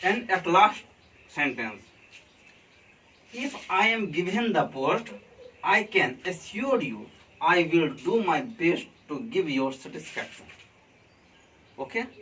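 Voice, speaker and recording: A man with an Indian accent teaches a classroom lesson at an unhurried, measured pace, pausing to check in with the class. His voice sits a bit high for a man. He is picked up from some distance, with a faint hum of room ambience behind him. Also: sing-song, a bit bright. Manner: slow and deliberate, keeps checking in with "okay?". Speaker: college teacher. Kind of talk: classroom lesson on letter writing.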